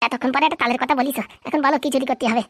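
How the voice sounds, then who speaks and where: A high-voiced, boastful young crook played by a woman in a comedy cartoon. The boy rattles along very fast in brash slang, lazy and cocky, on a dry close recording. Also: fairly even intonation, no echo. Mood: haggling.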